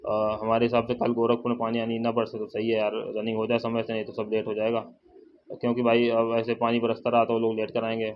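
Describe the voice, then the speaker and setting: A man's voice: medium pitch, completely flat delivery, fairly quick. A man giving a casual, informal update to viewers, repeating points and addressing them familiarly as brother. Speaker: exam-update YouTuber and channel host. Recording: dull lo-fi sound, faint noise, birds.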